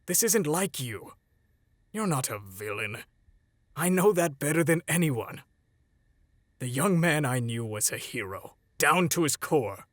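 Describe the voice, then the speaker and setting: A man performs an earnest older hero for a comic dub, fatherly and pleading. His delivery swings widely in pitch, on the higher side for a man. The sound is dry and close. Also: very animated, dry recording. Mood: earnest, gentle. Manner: earnest, dramatic, measured pleading. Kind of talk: fan comic dub voice acting.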